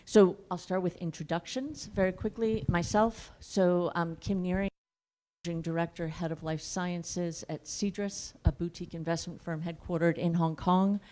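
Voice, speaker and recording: Female moderator, brisk and upbeat, slightly low voice. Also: fairly even intonation, dark tone. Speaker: panel moderator. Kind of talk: moderating a panel. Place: conference panel.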